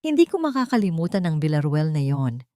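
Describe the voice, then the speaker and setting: A woman with a Filipino accent voicing a sharp, bitter elderly woman solemnly recalling an old injustice in an audio story. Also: low-pitched, big pitch swings, brisk, no echo, studio quality. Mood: bitter, sorrowful.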